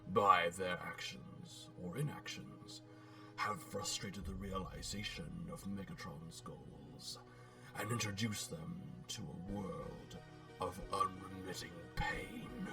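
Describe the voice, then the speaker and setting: A man's voice: sits low, takes it slowly, creaky, distant mic, music in the background, full character voice. A man voicing an eloquent, pompous robot warlord who speaks with theatrical, polite menace in a dramatic comic book reading. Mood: menacing, condescending.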